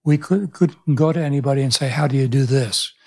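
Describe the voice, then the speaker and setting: A man's voice: medium pitch, brisk, vocal fry, dark tone, breathy edge. An older man in his seventies, a pastor and author, telling stories thoughtfully in an interview, often restarting his sentences. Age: 70s. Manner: thoughtful storytelling with frequent restarts. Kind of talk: reflective interview conversation. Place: small room, indoors. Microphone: studio microphone on boom arm.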